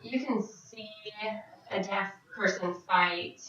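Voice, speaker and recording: A woman voicing a signed question-and-answer vlog as an interpreter, speaking in short, halting phrases with frequent restarts. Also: mid-range voice, a bit bright, a little hiss or hum. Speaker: sign language interpreter voicing a Deaf vlogger. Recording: very echoey, distant, dull sound.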